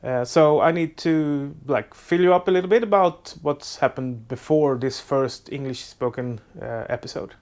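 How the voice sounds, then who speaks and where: A man with a Swedish accent, a native Swedish speaker, hosting a do-it-yourself boat restoration vlog from his makeshift workshop, talking in a halting way with many filler sounds and restarts. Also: fairly high pitch, darkish tone. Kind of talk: casual how-to narration.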